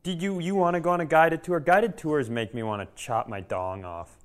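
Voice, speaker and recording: A man's voice: fairly high pitch, normal speed. A man co-hosting a podcast in casual comic banter, teasing and blunt with a sarcastic edge. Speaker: podcast co-host.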